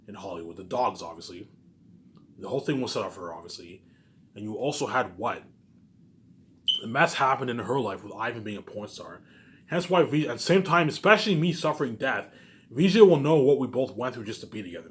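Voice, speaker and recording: A man's voice: mid-range voice, fairly bright tone. A man giving an informal, rambling monologue to viewers, agitated and emphatic, swearing and repeating himself, often trailing off at the ends of phrases.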